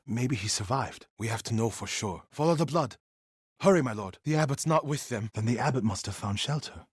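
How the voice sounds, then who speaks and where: Devout warrior monk in a video game, husky voice, solemn n formal, loyal, grieving, really dry close sound. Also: male voice, mid-range voice, no room sound. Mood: troubled, urgent. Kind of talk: video game voice acting, dramatic dialogue.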